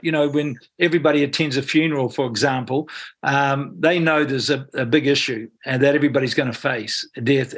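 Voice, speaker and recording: A man's voice: medium pitch, a bit bright. A man in his seventies with a New Zealand accent, answering interview questions earnestly, rambling with many restarts.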